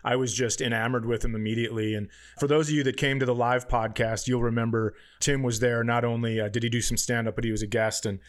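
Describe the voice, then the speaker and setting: A man's voice: mid-range voice, little pitch movement, fast, few pauses. A middle-aged American man hosting a comedy podcast with casual, playful, joking banter.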